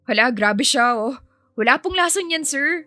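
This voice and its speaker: A woman's voice: sits high, animated, normal speed, pushed and bright, no room sound, voice pushed up. A woman voicing a perky, affectionate, cheeky young nanny with a chirpy, doting manner in a dramatised story.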